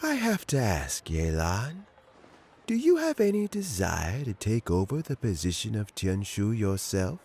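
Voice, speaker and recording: A man voicing a measured, formal male advisor in a video game, speaking in a thoughtful, deliberate way. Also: fairly low pitch, huge pitch swings, darkish tone, breathy edge, exaggerated voice.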